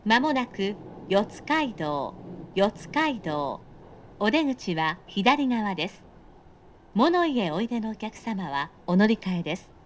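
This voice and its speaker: A woman's voice: medium pitch, big pitch swings, slow pace, a little hiss or hum. A woman reading scripted, pre-recorded train announcements in a polite, formal and carefully enunciated way.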